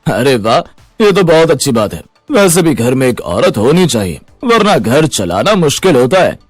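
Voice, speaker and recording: A man playing an eager, chatty matchmaker friend, brisk and persuasive, in a cartoon moral story. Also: mid-range voice, very animated, no echo, faint music behind.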